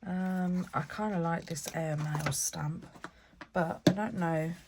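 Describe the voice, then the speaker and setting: Slow-talking woman with a cold. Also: on the low side, fairly even intonation, background noise. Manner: chatty, thinking aloud while working. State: stuffy with a cold. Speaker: craft channel host. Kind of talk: casual crafting vlog talk.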